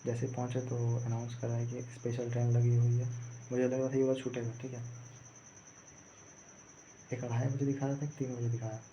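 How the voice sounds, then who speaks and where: A man's voice: medium pitch, completely flat delivery, a little fast, pauses often, warm tone. A young man telling a story casually, in a rambling, repetitive way. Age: young adult. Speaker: vlogger recounting own exam day. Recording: muffled lo-fi audio, faint background noise.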